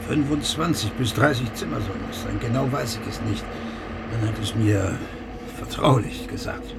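A cold, gravelly doctor. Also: male voice, on the low side, moderate pace, heavy background noise. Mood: cool. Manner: clinical, dismissive. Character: cold, detached male doctor. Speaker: physician.

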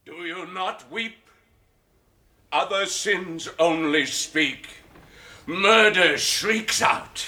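A Jacobean tragedian in full declamation. His voice is high and ringing with force. He draws the verse out slowly and portentously. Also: sharp tone, full character voice. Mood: grief-struck declamation. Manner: slow, portentous. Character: declamatory tragic stage actor in Jacobean revenge play.

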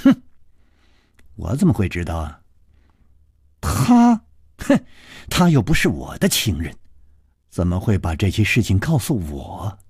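A man voicing a teasing, coquettish, obsessive young woman in a martial-arts story, with sly and breathy delivery. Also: medium pitch, wildly swooping pitch, slow pace, long pauses, darkish tone, no echo, studio quality, voice pushed down, full character voice. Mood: cold, evasive.

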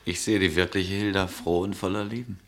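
An actor playing a weary, yearning traveller in a radio drama, with a husky voice and an earnest, poetic delivery. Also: male voice, deep voice, fairly even intonation, brisk. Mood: tender, fervent. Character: weary, yearning male traveller, ardent and searching. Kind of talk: German radio drama acting.

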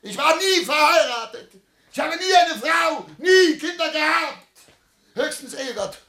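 A man's voice: extremely high pitch, lively intonation, measured pace, bright, forceful tone, a little hiss or hum, exaggerated voice. An actor playing an embittered, proud father of seventy in a classic German radio drama, declamatory and bitterly sarcastic. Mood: raging, anguished. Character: embittered seventy-year-old father, proud, wounded patriarch.

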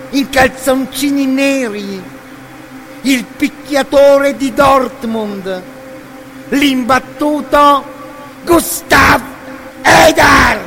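A bombastic boxing ring announcer from the 1930s for a radio play. The voice is very high and forceful, stretching each phrase in a declamatory build-up over faint background noise. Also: male voice, full character voice. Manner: declamatory, drawn-out build-up to shouted names. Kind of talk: theatrical radio drama announcing.

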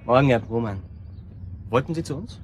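A hesitant young man played by an actor in a naturalistic radio drama. His voice sits at an ordinary male pitch and his sentences falter and repeat, weighed down by self-doubt. There is noticeable noise and ambience behind him. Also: animated, lightly acted. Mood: guarded, polite. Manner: halting, repeating himself.

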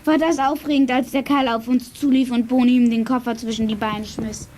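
A young female performer playing an excitable girl in a gang of child detectives in a German children's audio drama. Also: fairly high pitch, a little fast, a little hiss or hum, natural voice. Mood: excited, chatty. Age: teenager or younger. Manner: excitable, exclaiming.

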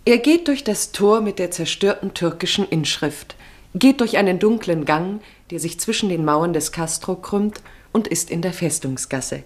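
Low-voiced woman narrating a radio play. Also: lively intonation, normal speed. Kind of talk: literary radio play narration, present tense.

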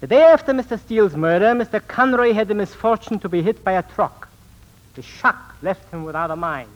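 An actor in a 1940s radio drama playing a sinister, bitter small-time swindler and phony psychic, with formal, theatrical diction. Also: male voice, high voice, animated, brisk. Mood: insinuating. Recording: muffled 1940s radio recording.

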